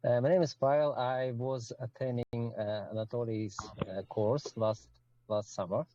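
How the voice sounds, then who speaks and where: A man from Russia introducing himself on a video call, halting and full of fillers. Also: mid-range voice, steady tone, mellow, muffled recording, slight background noise. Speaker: meeting participant. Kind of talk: casual self-introduction.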